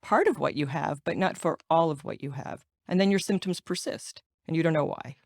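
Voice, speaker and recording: A woman's voice: deep voice, a little fast, no room sound, studio quality. A middle-aged American woman who grew up speaking Ukrainian first, answering interview questions earnestly and stressing points by repeating words. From United States. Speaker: guest, patient advocate.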